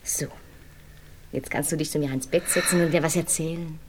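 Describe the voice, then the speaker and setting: A woman's voice: low-pitched, fairly even intonation, brisk, slight background noise, close to an everyday voice. A woman acting in a German-language radio play as a capricious, seductive stage actress who teases and mocks her lover, often dropping to a whisper. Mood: teasing, coy. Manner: teasing, imperious, mocking, drops into breathy whispers.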